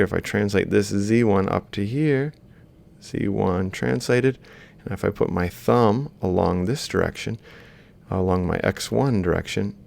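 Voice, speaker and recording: A man's voice: deep voice, vocal fry, a little mellow. A man lecturing informally, thinking aloud as he explains step by step while drawing. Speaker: robotics lecturer.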